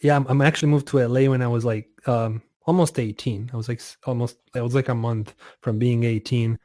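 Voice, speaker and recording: A man in his twenties from Italy, a music producer chatting casually as a guest, relaxed and often laughing, with many fillers. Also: mid-range voice, fairly quick, dry recording, studio quality. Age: 20s. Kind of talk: casual interview over a call.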